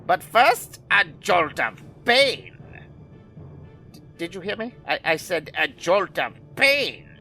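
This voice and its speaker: Pompous mad scientist, wildly swooping pitch, bright forceful tone, grandiose, audio drama villain. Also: male voice, sing-song, slow, vocal fry, soft background music, heavily acted. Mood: indignant. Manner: grandiose, alliterative, theatrical.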